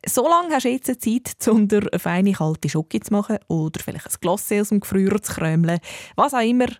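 A woman's voice: medium pitch, lively intonation, a little fast, a little mellow, no room sound, clean studio sound. A woman with a Swiss accent presenting a children's radio show in a cosy, scripted style.

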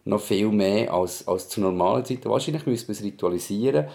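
Deep, gravelly Swiss German man. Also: animated, brisk, darkish tone. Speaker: podcast host, parent. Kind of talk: casual personal conversation.

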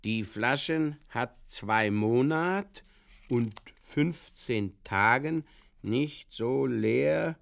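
A man playing a despairing drinker who reads out absurd diary entries in terse, deadpan fragments, in a comic audio drama. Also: medium pitch, drawn-out words, creaky, exaggerated voice. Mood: bleak, weary.

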